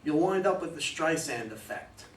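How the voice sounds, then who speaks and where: A man's voice: fairly high pitch, animated, normal speed, close to an everyday voice. A man playing a composed, knowing consultant who questions and instructs his clients in a staged play reading. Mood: instructive. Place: room at a live readers' theater reading. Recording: echoey room, distant mic, faint noise.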